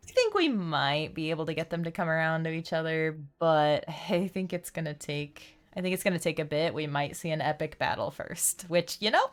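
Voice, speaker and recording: A woman's voice: sits low, animated, normal speed, few pauses. A woman chatting casually on a live game stream, explaining things in a rambling, chatty way over game music.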